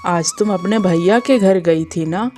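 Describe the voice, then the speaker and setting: A woman's voice: lowish voice, unhurried, darkish tone, faint music behind, lightly acted. A woman playing a poor, weary farmer husband in a cartoon moral story, plain and downcast. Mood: hungry, eager.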